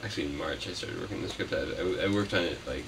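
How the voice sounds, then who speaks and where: A man being interviewed as a puppeteer, chatting casually in a halting, rambling way that often trails off. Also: deep voice, vocal fry. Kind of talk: casual unscripted interview chat. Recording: noisy, distant mic.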